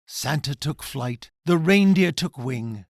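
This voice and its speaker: A man reading his own rhyming children's storybook in a dramatic, expressive way. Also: fairly high pitch, slow pace, dry recording, clean studio sound.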